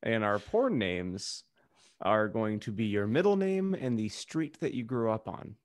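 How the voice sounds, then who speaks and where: Man with a lively, swooping delivery. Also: lowish voice, unhurried, dry recording, clean studio sound. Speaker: podcast co-host. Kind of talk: casual comedic banter.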